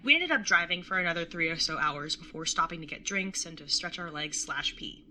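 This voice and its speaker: A woman's voice: lowish voice, animated, brisk, bright, forceful tone, slight background noise. A young woman playing a caring, slightly awkward and wry older sibling in a casual audio drama. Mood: wry, reflective. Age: young adult. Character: awkward, caring older sibling, early twenties, tattooed, teasing. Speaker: narrator and lead character. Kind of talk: audio drama acting with first-person narration.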